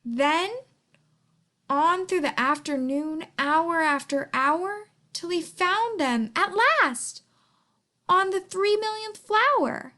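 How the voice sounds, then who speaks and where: A woman reading a rhyming children's story aloud in a theatrical, deliberate, expressive manner. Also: very high voice. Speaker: storybook reader.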